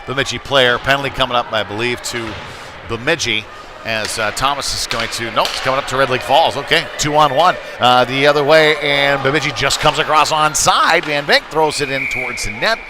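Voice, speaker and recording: A man's voice: mid-range voice, brisk. A man doing live play-by-play of youth hockey in a casual, folksy, slightly hesitant way. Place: ice rink, live stream broadcast. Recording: clear rink noise behind the voice.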